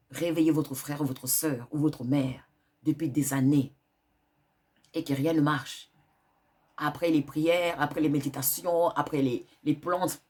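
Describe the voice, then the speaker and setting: A woman's voice: sits low, a little mellow, slightly rough. A woman hosting a wellness channel with a cold, giving earnest spiritual advice and repeating her points for emphasis. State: has a cold.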